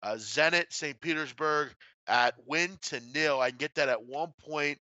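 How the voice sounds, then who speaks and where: A man's voice: a little high, normal speed, sharp tone, dry recording, muffled recording. A man hosting a casual sports betting discussion, blunt and opinionated in a colloquial way. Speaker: host of a sports betting show.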